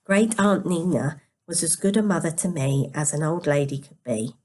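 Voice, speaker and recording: A woman reads a story aloud in a quiet, sleepy voice, careful but with the occasional stumble over the words. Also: low-pitched, moderate pace. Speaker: storyteller reading a novel aloud. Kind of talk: scripted story narration.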